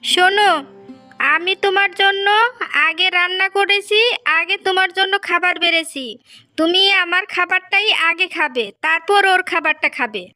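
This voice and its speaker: A woman plays a jealous fisherman's wife in a cartoon fairy tale. The voice is extremely high and piercingly bright, insistent and argumentative, with music underneath. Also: moderate pace. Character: jealous, bickering village wives of a fisherman.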